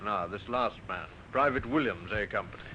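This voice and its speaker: An actor playing a businesslike police inspector in an old radio drama, asking clipped, polite, probing questions. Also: male voice, fairly low pitch, moderate pace, pushed and bright. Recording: muffled, noisy old radio recording.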